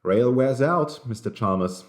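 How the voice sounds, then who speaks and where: A man's voice: mid-range voice, measured pace, reverberant, natural voice. A man voicing an impassive, accusing train conductor in an audiobook, terse and pointed. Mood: grim, accusing. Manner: flat, terse, pointedly emphatic.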